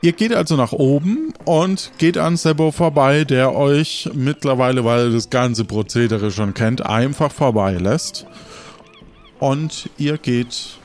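A man narrating an improvised tabletop game as game master, halting and building scenes in short phrases. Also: mid-range voice, darkish tone. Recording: music under the voice.

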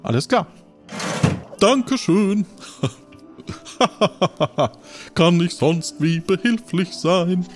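A man voicing an oily, money-minded tavern keeper with drawn-out, servile courtesy in a tabletop roleplay. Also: high voice, lively intonation, voice pushed up, heavily acted. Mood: oily, welcoming. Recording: music under the voice.

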